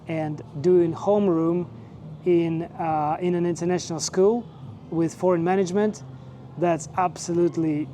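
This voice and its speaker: Man in his forties, soft warm tone, slightly raised pitch, relaxed storyteller, faint hiss behind. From Russia. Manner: relaxed, measured, with fillers and short laughs. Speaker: vlogger and teacher. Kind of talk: casual personal storytelling.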